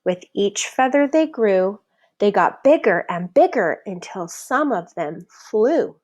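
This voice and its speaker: A woman reading a children's picture book aloud as an expressive, deliberate storyteller who leans on the rhymes. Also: medium pitch. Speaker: storybook reader.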